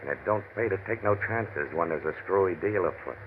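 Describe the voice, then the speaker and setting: On a tinny, hissy old radio recording, a menacing outlaw gunman. His voice sits on the low side, and he taunts and bullies in crude slang. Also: animated, fairly quick. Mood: sneering, suspicious. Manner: bullying, taunting. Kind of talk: old-time radio drama acting.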